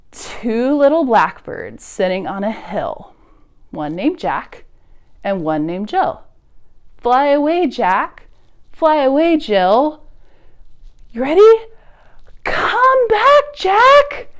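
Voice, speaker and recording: A woman hosting a preschool storytime with songs, rhymes and reading, speaking in simple, encouraging phrases to small children. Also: high voice. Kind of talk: preschool storytime with singing, rhymes and read-aloud.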